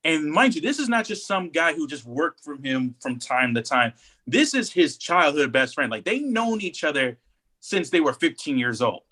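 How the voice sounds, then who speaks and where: A man co-hosting a casual podcast discussion, telling stories in an animated, slangy way and acting out quotes. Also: on the high side, moderate pace, husky. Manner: animated storytelling, acts out quotes in slangy speech. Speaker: podcast co-host.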